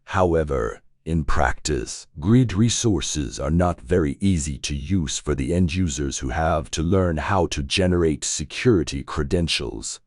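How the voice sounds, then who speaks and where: Male reader for English test passages, extremely deep voice, dry recording. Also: animated, measured pace, few pauses, a little mellow, dry recording. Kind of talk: scripted read-aloud of exam practice passages.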